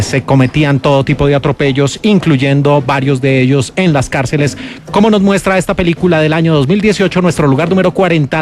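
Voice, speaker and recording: A Colombian man hosts a radio film countdown as a critic. His fairly high voice is explanatory and emphatic as he presents each entry.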